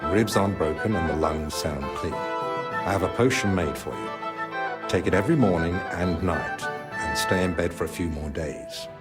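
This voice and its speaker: An actor playing a learned, stern but caring medieval physician in a video game, clipped and authoritative. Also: male voice, sits low, moderate pace, over loud music, close to an everyday voice. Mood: calm, instructing. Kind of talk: video game cutscene acting.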